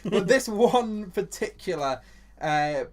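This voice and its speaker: A man's voice: sits high, animated, slow. An enthusiastic man with an English accent, chatting about church history as a co-host, with lots of restarts. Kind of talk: casual Bible teaching chat.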